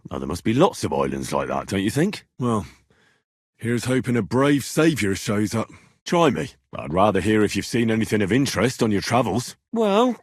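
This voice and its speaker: Medieval game hero: young British commoner, earnest and inquisitive, husky, animated delivery, informal and plain-spoken. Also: male voice, on the low side, no room sound, studio quality. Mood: curious, conversational. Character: earnest, curious young blacksmith's son in medieval Bohemia. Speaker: video game voice actor. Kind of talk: game dialogue lines.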